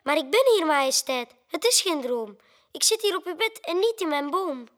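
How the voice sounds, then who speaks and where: A performer voicing a small, kind nightingale who speaks gently in rhyme in a children's audio drama. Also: very high voice, big pitch swings, normal speed, no room sound, studio quality, heavily acted. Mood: reassuring.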